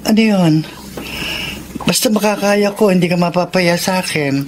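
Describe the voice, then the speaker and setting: A fairly young, high-pitched man acted out by an actor in a radio drama. He is an earnest, caring confidant who coaxes gently, with faint ambient noise behind him. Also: normal speed, lightly acted. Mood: concerned, coaxing. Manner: earnest, coaxing. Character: helpful, caring young male friend and confidant. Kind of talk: Tagalog radio drama acting.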